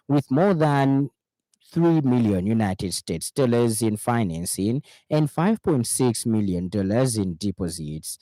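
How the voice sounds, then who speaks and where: Male radio news reader, Zambian accent, low mellow voice, formal measured delivery, dry studio sound. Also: no echo, rough recording. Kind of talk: scripted news bulletin reading.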